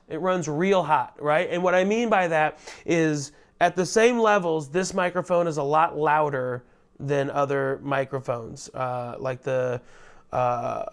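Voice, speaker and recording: Loud, chatty man reviewing mic gear. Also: on the high side, clean tone. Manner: loud, chatty, self-deprecating asides. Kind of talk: casual product tutorial. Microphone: lavalier clipped under shirt.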